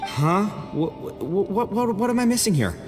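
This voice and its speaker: A man playing a brash, easygoing young man in a video game, informal and quick to blurt things out. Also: high-pitched, very animated, in a large hall, over music. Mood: confused.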